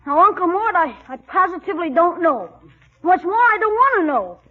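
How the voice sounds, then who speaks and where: A man's voice: very high-pitched, big pitch swings, moderate pace. A man voicing a cheeky, loyal young schoolboy in a 1940s radio sitcom, brash and protesting. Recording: tinny, band-limited 1940s radio recording.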